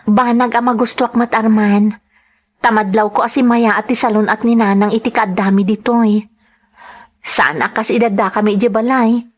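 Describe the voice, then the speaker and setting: A woman playing a gentle, dutiful young woman in love in a radio drama, with an Ilocano accent. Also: on the high side, fairly even intonation, moderate pace, lightly acted. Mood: concerned, surprised. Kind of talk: radio drama acting and first-person narration.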